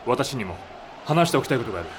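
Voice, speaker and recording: A man's voice: mid-range voice, big pitch swings, rapid-fire, background noise, close to an everyday voice. A man playing a serious, concerned expedition companion in an audio drama. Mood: grave. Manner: urgent, serious.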